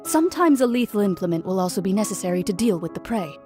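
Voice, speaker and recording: An actress playing a serious, blunt young officer in a video game, speaking precisely and matter-of-factly. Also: female voice, medium pitch, sing-song, fast talker, music in the background, natural voice. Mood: explanatory.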